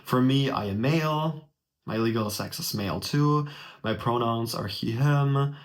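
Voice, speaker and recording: A man's voice: medium pitch, a little slow. Brief: young German man, native German speaker, casual friendly how-to walkthrough, close webcam in a small room, mid-range voice. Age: young adult. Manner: friendly, direct, speaks to the viewer. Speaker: vlogger explaining a college application.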